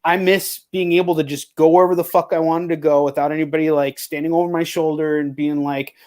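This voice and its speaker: A man with a somewhat high voice hosts a loose, informal chat. He talks candidly, wanders through his thoughts and swears freely as he goes. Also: level tone, brisk, a little mellow. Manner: candid, profane, rambling. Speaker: podcast host. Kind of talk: casual chat.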